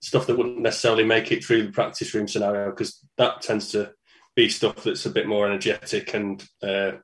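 A man's voice: lowish voice, flat delivery, fairly quick. A man with a Manchester accent, a band's singer, chatting easygoing and matter-of-fact as a guest over a video call. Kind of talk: casual interview chat.

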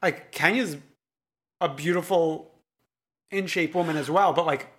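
A man with a somewhat high-pitched voice trades wry jokes in a casual podcast recap. He backs up his co-host with quick "yeah"s and keeps his delivery light and teasing. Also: fairly bright tone. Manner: wry, joking asides, quick agreeing "yeah"s. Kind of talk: casual reality TV recap chat.

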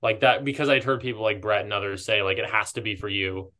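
A man in a casual, reflective podcast conversation, speaking as a host in a halting, thoughtful way and often rephrasing his ideas. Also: fairly low pitch, sharp tone.

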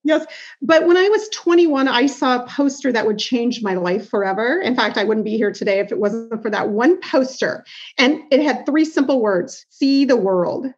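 A woman from Iowa speaks as a podcast guest, a lively storyteller who laughs easily. Her voice sits high. The sound is a bit dull and lo-fi. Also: normal speed. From Iowa, United States. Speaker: podcast guest, business founder. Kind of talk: storytelling interview answers.

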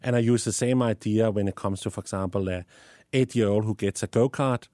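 A man's voice: on the low side, fairly even intonation, fairly quick, a little mellow, no echo, clean studio sound. A man from Denmark with a Danish accent, answering interview questions about teaching, often repeating words as he thinks. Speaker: guest, STEM educator.

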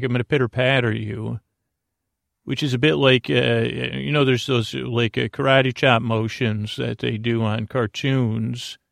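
A man with a lot of fry in his voice hosts a sleep podcast. He rambles haltingly, circling back on words and wandering into whimsical tangents. The sound is very dry, with no room around it. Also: mid-range voice, no room sound. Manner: halting, meandering, repetitive, drifting into whimsical asides. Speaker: podcast host. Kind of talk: rambling bedtime podcast monologue.

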